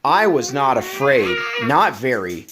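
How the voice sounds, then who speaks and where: A man's voice: medium pitch, very animated, slow pace, noisy background. Storybook goat, nervous but claims bravery, slightly raised voice, read by a man. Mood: pleading, sheepish.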